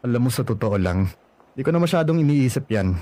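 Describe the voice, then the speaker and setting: A man voicing a lovelorn young man in a radio drama, speaking at an ordinary male pitch and gently pressing his former girlfriend about her feelings. He sounds wistful and a little lonely. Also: lively intonation, a little fast, close to an everyday voice.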